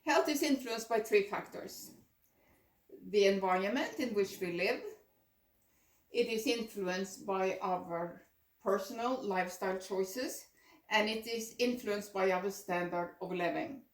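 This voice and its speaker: A woman's voice: mid-range voice, measured pace. Older woman presenting in echoey room. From Norway. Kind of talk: prepared personal presentation. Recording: echoey room, distant microphone.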